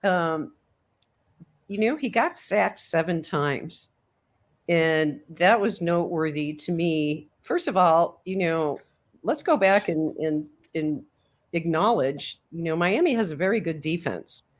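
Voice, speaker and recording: A woman with a fairly low voice hosts a relaxed sports chat. She breaks down the game in a steady, measured, analytical way. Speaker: host.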